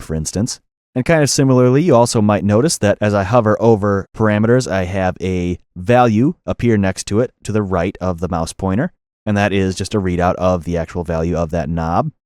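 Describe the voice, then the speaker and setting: Deep voiced guy walking thru software step by step, methodical, really dry close recording. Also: clean tone, no echo. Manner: methodical, explanatory. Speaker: tutorial instructor. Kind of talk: software tutorial narration.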